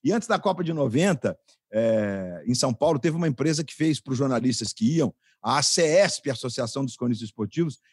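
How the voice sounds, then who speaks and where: A man's voice: medium pitch, lively intonation, fairly quick, a little mellow, husky, dry recording, studio quality. A middle-aged man hosting a sports podcast in a jovial, joking way, greeting his guests by name. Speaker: podcast host.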